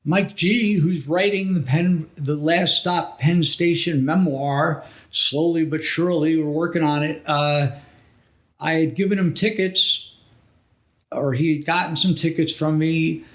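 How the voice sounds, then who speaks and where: A man's voice: fairly high pitch, fairly even intonation, measured pace, fairly bright tone. A middle-aged man from New Jersey telling anecdotes casually as a podcast co-host, rambling and backtracking as he goes. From New Jersey, USA.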